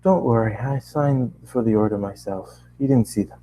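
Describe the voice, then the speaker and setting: A man's voice: mid-range voice, normal speed, warm tone, slight background noise, lightly acted, poor audio. Man playing a polite, mild lobby attendant.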